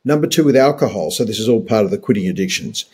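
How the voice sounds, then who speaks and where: A man's voice: lowish voice, expressive, fast talker, mellow, slightly rough. An older man in his sixties with an Australian accent, a doctor co-hosting a health podcast in an emphatic, opinionated way with wry humour.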